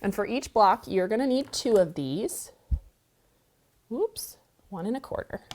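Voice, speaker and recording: A woman leads a relaxed sewing lesson at a mid-range pitch. She chats through each step as she works, and she sounds slightly stuffy from a cold. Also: unhurried, a little hiss or hum. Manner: chatty, walks through steps while working. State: has a cold, sniffling. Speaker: quilting show host. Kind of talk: friendly sewing tutorial. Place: sewing studio indoors.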